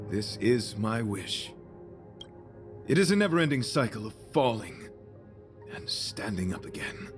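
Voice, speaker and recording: A man's voice: fairly low pitch, close to an everyday voice. An actor playing a chivalrous young male knight devoted to beauty, speaking in a formal, flowery and solemn way in a video game. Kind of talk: video game character dialogue.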